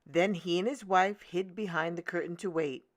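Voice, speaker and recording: A woman narrating a children's storybook as a read-aloud host, in a deliberate, expressive manner. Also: lowish voice, no echo, studio quality.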